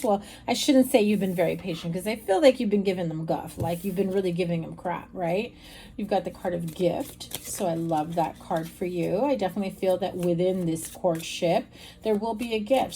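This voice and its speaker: A woman reads tarot cards in a frank, chatty way, talking straight to her viewers. Her voice sits low and mellow, with a slight rasp. Faint hiss runs under the recording. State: slightly raspy voice. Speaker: tarot reader. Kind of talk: casual tarot reading to camera.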